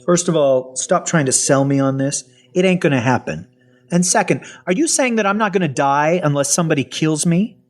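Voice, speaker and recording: A man's voice: lowish voice, very animated, moderate pace, husky. A man playing a sardonic, folksy half-zombie survivor telling his story in an audio drama. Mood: agitated sarcasm. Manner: folksy, colloquial, wry. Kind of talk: first-person audio fiction narration with acted dialogue.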